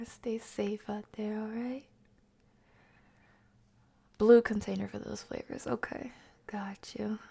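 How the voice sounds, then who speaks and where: A woman live streamer chatting casually with viewers, soft-spoken and often slipping into a breathy near-whisper. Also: fairly high pitch. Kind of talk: casual livestream chat with viewers.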